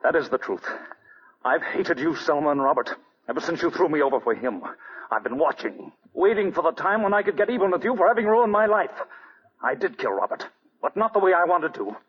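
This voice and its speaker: Bitter high-voiced killer. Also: male voice, animated, brisk, husky. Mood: bitter, vengeful. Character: bitter jilted man unmasked as vengeful killer. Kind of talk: 1940s radio acting. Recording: dull muffled vintage radio recording, audience noise.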